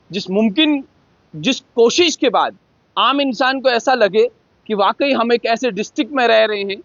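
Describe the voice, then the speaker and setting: A woman with a high voice works as a news reporter, introducing and questioning a guest. The sound is very dry and a little dull, with almost no room around her. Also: dry recording. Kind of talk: news introduction and phone interview. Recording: slightly dull, dry audio.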